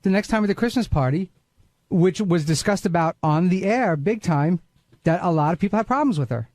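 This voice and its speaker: A man's voice: high-pitched, lively intonation, fairly quick, darkish tone, no room sound. A man in heated casual on-air conversation, blunt and argumentative, repeating phrases for emphasis.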